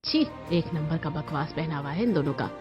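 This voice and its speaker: A woman's voice: low-pitched, lively intonation, normal speed, over music, voice pushed down. A woman voicing a bossy, snobbish middle-aged mother-in-law in a cartoon story. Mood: scornful.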